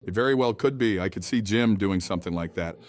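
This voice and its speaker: A man's voice: lowish voice, fast talker, lightly acted. A man playing an obsessive husband in an old-time radio style horror audio drama. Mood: wry, puzzled. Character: husband growing obsessed with messages from God, desperate.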